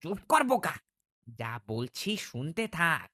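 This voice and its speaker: A woman voicing a clever, quick-witted fox in a cartoon, speaking in a persuasive, theatrical manner. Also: low voice, very animated, pushed and bright, full character voice. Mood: hushed scolding. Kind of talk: cartoon voice acting.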